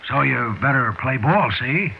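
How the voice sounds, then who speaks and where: An actor playing a sly, chuckling, quietly menacing fugitive crook in a 1940s radio drama, with ungrammatical American street slang. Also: male voice, mid-range voice, animated, measured pace, creaky. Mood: coaxing menace. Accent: American, urban street slang. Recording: tinny, band-limited 1940s radio recording.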